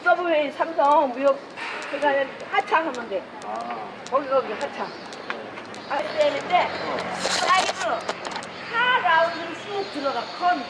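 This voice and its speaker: A woman's voice: sits high, expressive, very fast. An older woman with a Korean accent giving spontaneous directions to passersby out on the street. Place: outdoors on a city side street. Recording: very noisy street, off mic.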